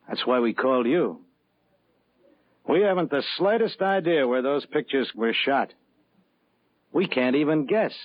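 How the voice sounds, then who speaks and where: An actor playing a businesslike, discreet FBI agent in a 1950s radio drama, with a measured official manner. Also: male voice, mid-range voice. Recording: dull lo-fi vintage radio recording.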